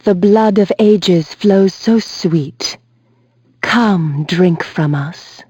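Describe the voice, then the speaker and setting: An actress voicing an eerie, seductive spirit in a video game, languid and beckoning. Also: female voice, lowish voice, very slow, a little mellow, breathy edge, no echo, highs cut off, heavily acted. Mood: luring, eerie. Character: eerie, seductive female spirit of a blood fountain. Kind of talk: video game cutscene acting.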